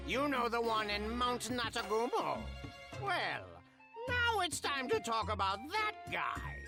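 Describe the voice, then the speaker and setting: A perky, mischievous female character in an anime game skit. She is bubbly and very animated, high-pitched over prominent music. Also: unhurried. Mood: mischievous, amused.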